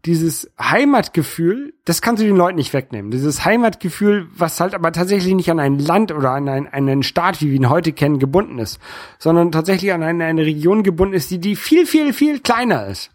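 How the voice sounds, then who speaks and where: North German man, halting casual talker. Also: a little high, animated, dry recording, clean studio sound. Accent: light North German. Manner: halting, repeats words and phrases while searching for the next. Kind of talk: casual political discussion.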